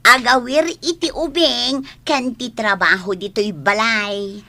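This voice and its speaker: A woman's voice: fairly high pitch, unhurried, sharp tone. A woman playing a spiteful, nagging, mocking older sister in an Ilocano radio drama, with an Ilocano accent. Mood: disdainful. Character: spiteful, scolding older sister in a village household.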